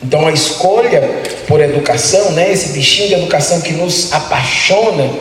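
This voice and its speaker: A middle-aged man lectures teachers in a large hall. His fairly high voice rings through a long, roomy reverb, picked up from some distance away. He keeps the audience involved with repeated rhetorical questions. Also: fairly quick, slight background noise. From Salvador, Bahia, Brazil. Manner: rhetorical, asks the audience questions, tags phrases with "né". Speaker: guest lecturer speaking to teachers. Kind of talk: motivational talk to an audience. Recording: very echoey hall, voice heard from a distance.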